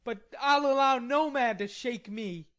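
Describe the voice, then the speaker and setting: A woman voicing a proud, hot-tempered young gentleman who speaks indignantly and defiantly. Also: on the high side, a little slow, voice pushed up.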